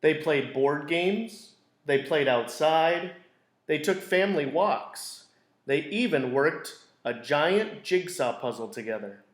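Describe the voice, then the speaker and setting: A man reading a children's picture book aloud in a deliberate, expressive storytelling way. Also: a little high. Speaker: school read-aloud storyteller. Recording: roomy, echoey indoor sound.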